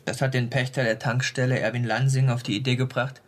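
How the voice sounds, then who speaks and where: A man's voice: medium pitch, little pitch movement, a little fast, close to an everyday voice. A man playing a plain-spoken police detective who reports facts without fuss in a radio crime drama. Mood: factual. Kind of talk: naturalistic German radio crime drama dialogue.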